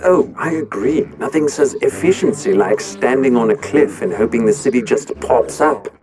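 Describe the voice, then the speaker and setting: A sarcastic robot companion from a sci-fi animation, acted out by a man. The delivery is deadpan and drily mocking, at an ordinary male pitch, with prominent music underneath. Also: normal speed, close to an everyday voice. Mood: dry sarcasm.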